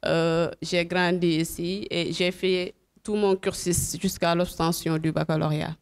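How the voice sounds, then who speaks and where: A woman's voice: lowish voice, level tone, measured pace. A woman from Senegal, giving formal, earnest congratulatory remarks.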